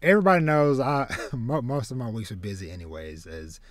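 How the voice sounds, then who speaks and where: A man in his thirties hosts a casual podcast in a halting way, doubling back on his words. The sound is close and dry, with no room on it. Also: medium pitch, no echo. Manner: halting, trailing, repeats words. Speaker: podcast host. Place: small home room with shelves, video call. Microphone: close desk mic.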